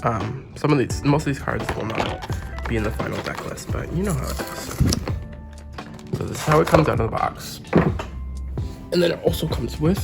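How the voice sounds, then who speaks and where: A man with a sore throat and a hoarse, sick voice, chatting casually as he unboxes and reviews a card game deck. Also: medium pitch, animated, moderate pace, long pauses. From Canada. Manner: chatty, gushing over cute packaging. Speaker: trading card game YouTuber. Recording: music playing underneath.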